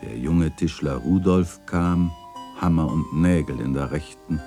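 An actor playing a melancholy old chronicler in a literary radio play, narrating in a wistful, theatrical and solemn way. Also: male voice, extremely low pitch, measured pace, mellow, faint music behind. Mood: grieving, wistful. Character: melancholy old male chronicler remembering his neighbourhood and its dead.

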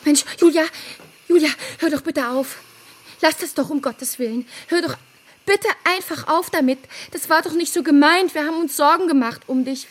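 An actress plays an anxious, reasoning woman in a radio drama. Her voice sits high, and she speaks haltingly and insistently, trying to talk people round. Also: close to an everyday voice. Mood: pleading. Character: anxious, reasoning woman pleading to calm an attacker.

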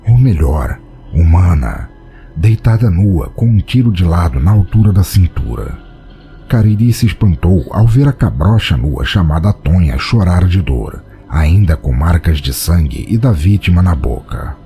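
A man's voice: sits low, expressive, unhurried, warm tone, faint music behind. A man with a Brazilian accent narrating a dramatic scripted audio story.

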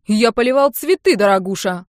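A woman's voice: medium pitch, expressive, brisk, dry recording, lightly acted. A woman narrator acts out a touchy young uncle in an audiobook. He is sarcastic and bossy, firing off mocking retorts in a raised, emphatic voice, recorded very dry. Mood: indignant.

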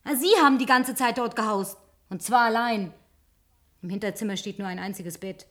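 A woman's voice: medium pitch, moderate pace, fairly bright tone, lightly acted. An actress in a German radio play, voicing an inquisitive, suspicious, solitary woman with a probing way of talking. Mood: accusing. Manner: probing, questioning.

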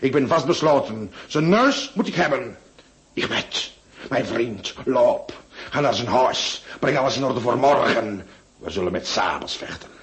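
A man's voice: medium pitch, animated, unhurried, lightly acted. An actor with a Flemish accent playing a hot-tempered, offended Ottoman diplomat in a radio play, emphatic and stubborn. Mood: stubborn, determined. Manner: emphatic, stubborn, oath-swearing.

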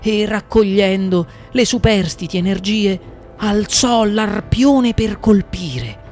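A man reading a literary story as dramatic narration, with deliberate phrasing and varied emphasis. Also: sits high, darkish tone. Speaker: story reader. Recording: music behind the voice.

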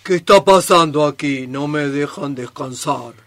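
A man's voice: on the high side, unhurried, a bit bright, no room sound. A man playing a grumpy, sly, hungry crocodile in a children's radio play.

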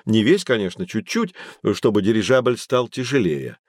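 Animated male teacher guiding children. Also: lowish voice, moderate pace, no room sound, clean studio sound. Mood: patient, explanatory. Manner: clear didactic storytelling to children. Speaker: guide explaining history and technology. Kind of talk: educational audio drama, scripted explanation.

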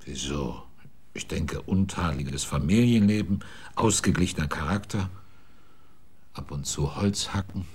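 A probing private detective in a radio play. He has a husky, lowish voice and asks short, terse questions. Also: expressive, unhurried, a little mellow, exaggerated voice. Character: probing male private investigator. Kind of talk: radio drama acting.

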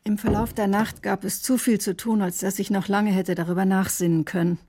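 A woman's voice: medium pitch, little pitch movement, brisk, mellow, breathy edge, natural voice. An actress playing a defensive, conscience-stricken woman doctor in a radio drama, recounting events in a precise, clinical way. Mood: matter-of-fact. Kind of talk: German radio drama acting, testimony-like narration.

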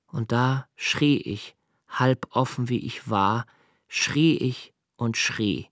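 An actor playing an introspective, haunted man who recalls his childhood in a literary radio play, with measured, precise phrasing and deliberate pauses. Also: mid-range voice, fairly bright tone, no echo, studio quality. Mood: distressed. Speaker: radio drama narrator. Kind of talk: literary first-person radio play narration.

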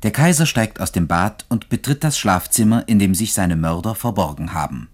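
A man's voice: on the low side, animated, moderate pace. A man reading scripted scene narration for a radio play.

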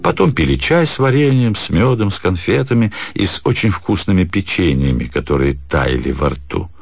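Man, low pitch, measured expressive narration, scripted radio storytelling, clear music underneath. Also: dry recording. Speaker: narrator, actor reading literary prose.

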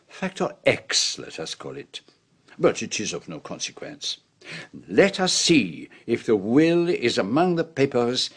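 An actor playing a shrewd, self-assured Belgian detective in a radio drama, with a French-speaking Belgian accent and a deliberate, theatrical manner. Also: male voice, medium pitch, slightly rough, dry recording. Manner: deliberate, theatrical, peppers speech with French phrases.

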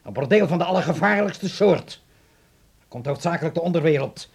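Strict middle-aged dad in a radio drama, gravelly, kinda high voice, indignant. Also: male voice, expressive, a little fast. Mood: grave indignation. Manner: scolding, indignant, sprinkles in German. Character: stern, agitated German father and anxious host. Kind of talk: radio play acting.

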